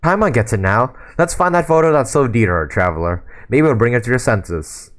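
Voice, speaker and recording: A hoarse man reading aloud the lines of a small, chatty female fairy companion in his own plain voice. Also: medium pitch, expressive, fairly quick, natural voice. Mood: concerned. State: hoarse from a sore throat.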